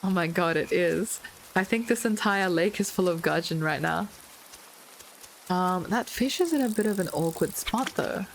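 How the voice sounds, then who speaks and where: A woman with a slightly hoarse voice, chatting casually through her gameplay with light puns and frequent ums. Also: lowish voice, moderate pace, slight background noise, low-quality recording. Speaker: gaming video creator. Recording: loud game music with rain sounds behind.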